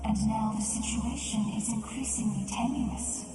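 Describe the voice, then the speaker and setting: An actress voicing an imperious, impatient ancient angel of death in a video game, cold, haughty and formal, with a heavy echo. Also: female voice, on the high side, measured pace. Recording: echoing, distant, loud music behind.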